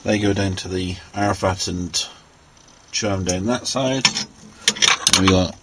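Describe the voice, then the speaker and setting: Male, low register, unhurried and hesitant; informal technical show-and-tell on a noisy amateur recording. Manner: halting, hesitant, unscripted, thinking aloud. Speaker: vlogger giving a technical walkthrough.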